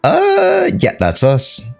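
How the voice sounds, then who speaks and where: A man's voice: very high voice, wildly swooping pitch, extremely slow, gravelly, faint music behind. A man giving casual, slangy reaction commentary as a game streamer, often murmuring agreement.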